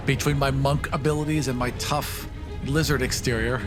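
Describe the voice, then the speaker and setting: A man's voice: mid-range voice, steady tone, normal speed. A man narrating his tabletop game moves as a player, casual and vividly descriptive. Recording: music behind the voice. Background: music.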